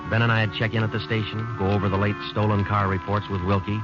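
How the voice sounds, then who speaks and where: An American actor playing a terse, weary police sergeant in a deadpan, clipped manner, in the style of a 1950s radio crime drama. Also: male voice, fairly low pitch, fast, over music, natural voice. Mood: matter-of-fact. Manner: deadpan, matter-of-fact. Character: terse, weary male police detective sergeant narrating his case. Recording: muffled, noisy old radio recording.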